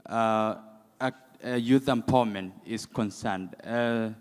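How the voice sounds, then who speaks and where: A Kenyan-accented man speaks off the cuff at a public event in a smooth, resonant voice. He goes slowly and haltingly, and the big hall echoes around him. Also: lowish voice. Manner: halting, hesitant. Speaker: youth director, summit delegate. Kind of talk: impromptu public remarks. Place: large indoor conference hall. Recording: echoey hall, long reverb.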